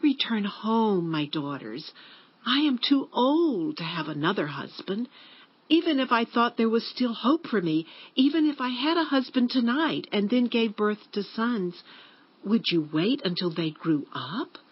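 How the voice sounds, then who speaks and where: A woman playing a grieving, bitter older widowed mother-in-law in a dramatized scripture reading, speaking with slow, sorrowful emphasis. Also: fairly high pitch, big pitch swings, breathy edge, full character voice. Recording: dull lo-fi audio with music bed.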